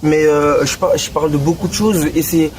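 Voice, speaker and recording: A man's voice: a little high, normal speed. Casual young Belgian man, far-off mic. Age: 20s. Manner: relaxed, slangy, many restarts and fillers. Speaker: guest rapper. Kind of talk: casual interview. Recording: distant mic with clear background noise.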